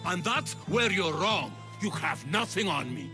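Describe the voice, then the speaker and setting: Shifty high-pitched debtor. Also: male voice, sing-song, a little slow, music in the background. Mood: defensive. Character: shifty, panicky man in debt, defensive liar. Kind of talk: dramatic video game acting.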